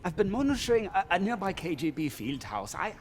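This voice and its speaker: Nervous informant, stammering, apologetic, jumpy intonation, video game drama, clear background noise. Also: male voice, high voice, big pitch swings. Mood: anxious, grateful. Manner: halting, stammering. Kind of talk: video game cinematic acting.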